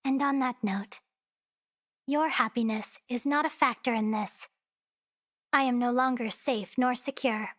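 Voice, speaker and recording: A possessive, devoted young girl from an anime game. The voice is fairly high, and the phrasing is earnest and oddly formal. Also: fairly bright tone.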